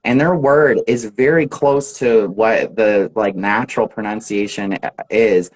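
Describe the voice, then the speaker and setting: An American man, an English teacher, chatting casually on a live video call, relaxed and laughing often. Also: on the low side, unhurried, low-quality recording. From United States.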